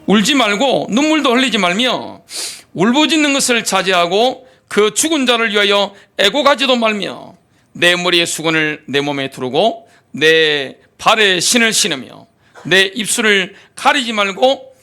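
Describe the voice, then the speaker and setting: Male preacher, sermon delivery, rhetorical questions, bright and lively pitch. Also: moderate pace. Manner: animated preaching with rhetorical questions, reads scripture aloud. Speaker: pastor.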